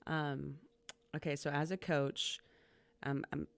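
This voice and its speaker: A woman hosts a casual podcast, chatty and upbeat, stumbling into quick restarts as she asks her questions. Her voice is low and gravelly yet smooth. The sound is dry, with no room echo. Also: steady tone. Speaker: podcast host, community college coach. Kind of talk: casual interview conversation.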